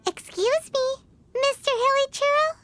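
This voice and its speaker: A woman playing a tiny, cheeky, childlike fairy companion in a video game, with a squeaky voice and a blunt, exclamatory way of talking. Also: slow. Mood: chatty, hopeful. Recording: music behind the voice. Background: game music.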